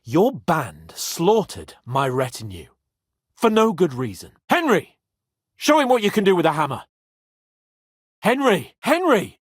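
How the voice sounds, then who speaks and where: A snobby young medieval lord for a game, raspy edge, bossy and quick to anger, really dry studio sound. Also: male voice, high-pitched, very animated, no echo, exaggerated voice. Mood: angry, vengeful. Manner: imperious, sardonic, swings between sneering and shouting. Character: haughty, hot-tempered young medieval nobleman knight. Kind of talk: dramatic video game voice acting.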